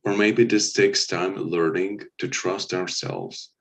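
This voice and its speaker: Man, low voice, host of a spiritual livestream, loose and rambling with constant "like" fillers, set back from the mic. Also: steady tone, slow pace, far from the mic. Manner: rambling, fills every phrase with "like". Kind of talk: casual spiritual livestream chat.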